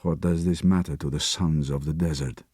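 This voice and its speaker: A man's voice: a bass voice, steady tone, moderate pace, dark tone, breathy edge, dry recording, studio quality, pitched below the performer's own voice. A man voicing a proud desert nomad who speaks solemnly.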